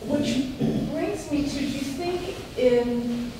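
A woman's voice: sits high, takes it slowly. Hesitant woman asking from the audience. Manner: hesitant, many fillers, rambling. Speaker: audience member asking a question. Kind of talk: audience question at a talk. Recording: echoey room, distant handheld mic, noise.

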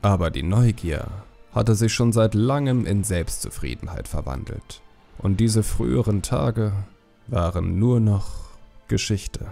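A man's voice: fairly low pitch, lively intonation, measured pace, creaky, mellow, faint music behind. A man narrating a fantasy audiobook as scripted storytelling.

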